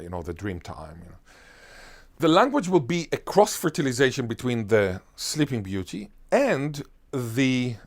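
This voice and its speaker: Emphatic man explaining. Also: lowish voice. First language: Hebrew. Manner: emphatic, animated, piles up lists and rhetorical questions. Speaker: linguist guest. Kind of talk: enthusiastic explanatory interview answer.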